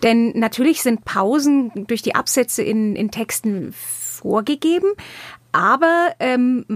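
Higher-voiced woman interviewer, dry sound. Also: lively intonation, takes it slowly, no echo. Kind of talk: conversational interviewing.

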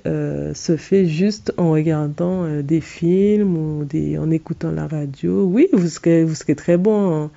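Earnest woman with a dark, mellow voice. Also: on the low side, creaky, muffled recording. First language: English. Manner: earnest and direct, many fillers and restarts. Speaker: podcast host and English coach. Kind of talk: solo podcast monologue giving advice.

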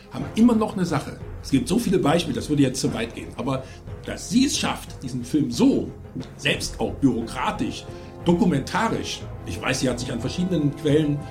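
A man giving opinionated film criticism in an emphatic, insistent, argumentative way. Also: medium pitch. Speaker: film critic on a review show.

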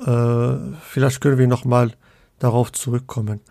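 A man's voice: medium pitch, level tone, normal speed, creaky, dark tone, dry recording, studio quality. A man from Morocco, a guest scholar of Islamic theology in a podcast interview, speaking hesitantly with many fillers and restarts.

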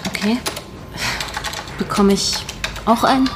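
A woman's voice: medium pitch, slow pace, close to an everyday voice. An actress playing a young undercover policewoman in a fiction podcast, anxious, informal and insistent. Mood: restless, annoyed. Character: young female undercover investigator, anxious, impatient, pleading. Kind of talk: naturalistic fiction podcast acting in German. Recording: room recording with clear background noise.

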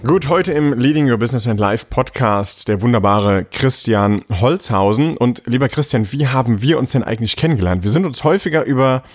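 A man hosting a podcast, asking his guest friendly, deliberately provocative questions. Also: mid-range voice, expressive. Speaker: podcast host. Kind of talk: podcast interview.

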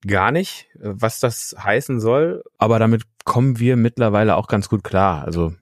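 A man in his thirties from Germany, interviewing a fellow musician in a relaxed, rambling way with lots of fillers. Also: on the low side, moderate pace, no room sound, studio quality. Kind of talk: casual interview chat.

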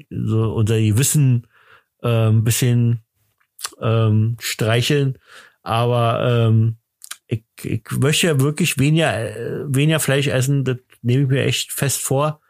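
A male podcast host with a mid-pitched voice chats casually and jokingly. He rambles and hesitates, halting often as he goes, with a tongue-in-cheek edge. The sound is dry, with very little room. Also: steady tone, dry recording.

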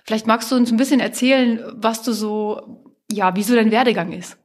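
A woman's voice: fairly high pitch, fairly even intonation, fairly quick. A woman presenting and interviewing a guest for a local podcast, friendly and engaged. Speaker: interviewer, chair of a support association.

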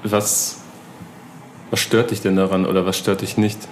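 Easygoing low-voiced male interviewer. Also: flat delivery, normal speed, a little hiss or hum. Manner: chatty, easygoing, enthusiastic. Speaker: interviewer, host. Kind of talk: casual interview chat.

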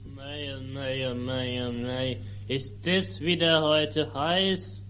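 A man's voice: medium pitch, fairly even intonation, slow pace, a bit bright, reverberant, slight background noise. A boy playing a groaning old potter. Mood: grumbling. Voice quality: groaning, mumbling. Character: slow grumbling village potter. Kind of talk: amateur radio play acting.

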